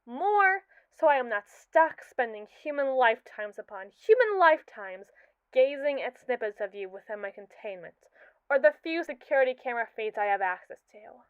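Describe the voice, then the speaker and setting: A cold, precise android with a secret obsession, voiced by a woman in an ASMR roleplay. The voice is smooth and somewhat high, with formal, condescending phrasing. The recording is dry and tinny, as if heard over a phone line. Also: expressive, moderate pace, dry recording, close to an everyday voice. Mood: earnest.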